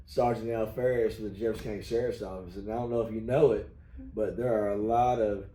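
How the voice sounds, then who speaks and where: Hoarse middle-aged man, folksy union host, very dark tone. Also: fairly low pitch, steady tone, quick pace. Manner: folksy, rambling with many fillers. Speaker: union local president, host. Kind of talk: casual video chat. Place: union hall. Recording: echoey room, faint noise.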